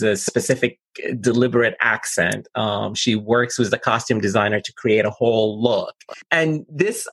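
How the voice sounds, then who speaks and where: Man, film podcast co-host, analytical, gravelly, husky. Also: mid-range voice, dry recording. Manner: steady, analytical. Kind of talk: casual film discussion.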